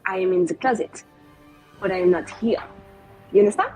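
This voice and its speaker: A woman's voice: mid-range voice, brisk, darkish tone, soft background music, natural voice. A woman voicing a confident, spiteful, evasive female mage with formal, conspiratorial phrasing in a tabletop roleplaying game. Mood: stubbornly evasive.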